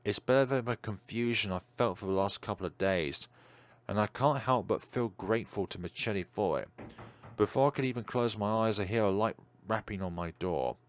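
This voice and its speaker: A man's voice: on the low side, moderate pace. A man reading a horror visual novel aloud in a dramatic narrating style, as a let's player.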